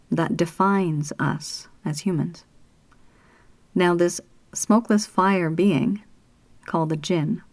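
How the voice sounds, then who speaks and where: A woman from Canada giving a slow, earnest talk about spirits as a spiritual teacher, with pauses and the odd whispered word. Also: lowish voice, a little mellow, dry recording. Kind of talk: solo spoken talk on spirits.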